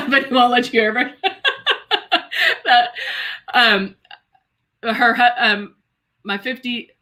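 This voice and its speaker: A woman's voice: on the high side, steady tone, takes it slowly, pauses often, bright, forceful tone. A woman of about fifty hosting a live makeup stream, chatting casually and exclaiming to viewers as she demonstrates and reads their comments. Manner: chatty and exclamatory, addressing viewers as girl and guys.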